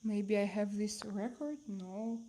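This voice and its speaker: A woman from Russia with a Russian accent, chatting casually as a livestream host and answering viewers in halting English with repeated phrases. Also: mid-range voice, fairly even intonation, warm tone, a little hiss or hum.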